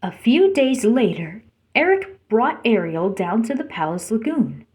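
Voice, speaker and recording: A woman with a fairly high voice narrates a children's storybook. She reads a scripted tale aloud for young listeners. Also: sing-song, a little slow, a little mellow. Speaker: storybook reader.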